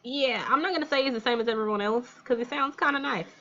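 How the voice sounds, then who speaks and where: A woman's voice: on the high side, fast, sharp tone. American woman gaming host, slightly dull mic. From Texas, United States. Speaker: let's play host. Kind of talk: visual novel read-aloud with casual commentary.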